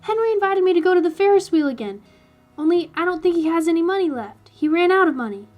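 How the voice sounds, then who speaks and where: A woman's voice: very high-pitched, expressive, fast talker, dull audio, soft background music, voice pushed up. A woman voicing an eager, pleading young farm girl in a storybook reading.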